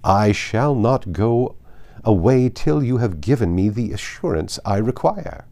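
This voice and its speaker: A man's voice: on the low side, expressive, unhurried, dark tone. A man voicing a haughty, indignant older aristocratic lady in a formal, emphatic audiobook reading. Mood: indignant, imperious.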